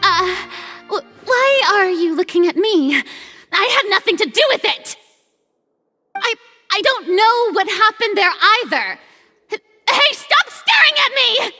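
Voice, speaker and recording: A woman's voice: very high voice, sing-song, unhurried, bright, forceful tone, faint music behind, exaggerated voice. A woman playing a haughty young female ruler in a video game, pompous and theatrical, with bluster over nerves. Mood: flustered, defensive.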